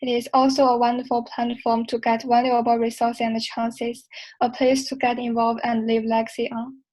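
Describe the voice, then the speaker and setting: A woman's voice: a little high, little pitch movement, moderate pace, a little mellow, rough recording. A young woman, a student candidate, reading a formal campaign statement carefully. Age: young adult.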